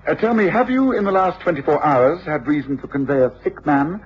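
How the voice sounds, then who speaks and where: An actor playing a shrewd, commanding gentleman detective with an English accent, precise and authoritative, in old radio drama. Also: on the high side, expressive, brisk, husky. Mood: brisk, commanding. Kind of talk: 1950s-60s radio drama acting. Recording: dull, noisy old radio drama recording.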